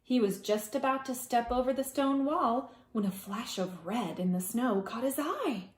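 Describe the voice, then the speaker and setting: A woman preschool teacher reading a children's story aloud in a dramatic way, with hushed asides to young listeners. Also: a little high, unhurried, echoey room.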